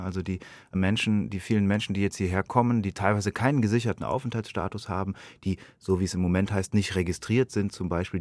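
A man's voice: low-pitched, a little mellow, dry recording. A man from Germany speaking thoughtfully and earnestly as an interviewed playwright, reflecting on his own work. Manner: thoughtful, earnest, self-questioning.

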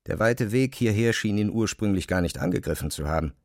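A man reads scripted literary narration with careful, measured phrasing. His voice is fairly low with a gravelly creak, and the recording is close and very dry. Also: animated, darkish tone, no echo.

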